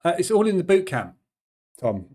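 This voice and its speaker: Male host of a live trading room, relaxed and warm in tone, talks it through conversationally. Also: high voice, moderate pace. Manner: chatty, explains plainly with "yeah" tag questions.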